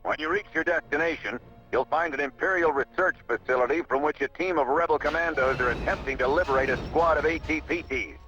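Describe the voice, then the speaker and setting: An authoritative general in a space war game. His delivery is formal and measured, with a sharp, forceful tone pitched a little high. It sounds lo-fi, with faint music underneath. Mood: grave briefing. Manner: formal, measured military briefing. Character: authoritative male rebel general briefing his pilots. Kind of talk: video game mission briefing. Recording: muffled lo-fi game audio, music behind.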